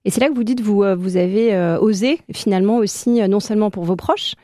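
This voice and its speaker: A woman's voice: medium pitch, a little fast, dark tone, dry recording, clean studio sound. A woman hosting a conversational radio interview, feeling her way through questions with hesitant phrasing. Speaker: radio host interviewing a musical guest.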